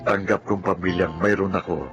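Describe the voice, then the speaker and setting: Low-voiced burdened nephew, acted by a man, music behind. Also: fairly even intonation, normal speed, muffled recording, lightly acted. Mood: earnest, reflective. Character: adult nephew, burdened but accepting family breadwinner. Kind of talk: Filipino radio drama acting. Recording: music under the voice.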